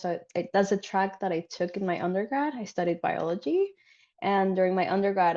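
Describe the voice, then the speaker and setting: A woman's voice: on the low side, a little mellow, lo-fi sound. A woman from Mexico, a PhD student, speaking earnestly on an online panel. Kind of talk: panel discussion. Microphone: video call.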